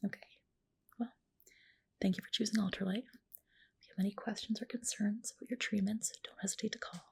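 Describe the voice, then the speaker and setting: Woman, hushed breathy whisper, some vocal fry, mid-pitched, ASMR spa practitioner roleplay, soothing client care, close-miked. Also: steady tone, a little fast. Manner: gentle, reassuring client-care talk. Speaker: ASMR creator playing a medical spa practitioner. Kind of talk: ASMR roleplay, personal attention. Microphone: close ASMR microphone.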